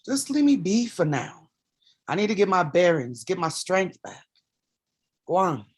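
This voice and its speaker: A woman's voice: fairly low pitch, very animated, measured pace, breathy edge, heavily acted. Woman acting a weary, blunt mother. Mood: weary, curt. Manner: blunt, commanding. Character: weary, proud mother, playwright at odds with her demons. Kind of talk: staged play reading.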